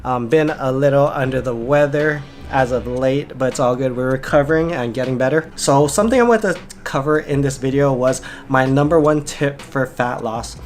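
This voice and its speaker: A man's voice: mid-range voice, normal speed, hardly any pauses, soft background music. A young man vlogging about dieting and workouts in a casual, rambling way, slightly congested and nasal from a cold, with lots of "like" fillers. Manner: rambling, filler-heavy with frequent "like". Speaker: fitness vlogger and coach. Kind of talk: casual vlog chat.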